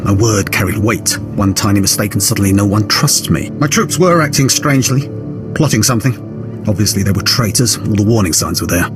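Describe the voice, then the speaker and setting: A man's voice: lowish voice, animated, a little fast, close to an everyday voice. Man playing a bitter, defensive disgraced commander. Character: aggrieved, self-justifying disgraced military commander. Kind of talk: video game dialogue acting. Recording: music behind the voice.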